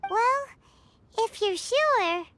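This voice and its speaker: A woman voicing a tiny, excitable, childlike fairy companion in a video game, squeaky, bubbly and eager. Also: drawn-out words, noisy background, exaggerated voice. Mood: reluctant acceptance.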